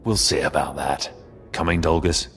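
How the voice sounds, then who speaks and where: An actor playing a cocky, menacing rival acolyte in a video game, talking with smug condescension. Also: male voice, extremely low pitch, steady tone, normal speed, a bit bright, soft background music. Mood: dismissive.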